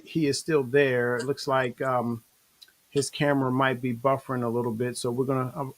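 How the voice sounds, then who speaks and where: A man's voice: on the high side, a little hiss or hum. Easygoing man hosting a video-call chat. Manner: easygoing, rambling, many fillers. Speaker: show host. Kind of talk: casual online interview.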